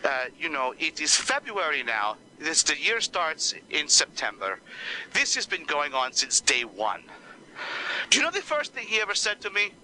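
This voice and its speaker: A man voicing an exasperated female Spanish teacher who swears, complains and uses broken grammar, with a low voice for a woman. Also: wildly swooping pitch, moderate pace, background noise. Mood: complaining. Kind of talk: one-man comedy skit.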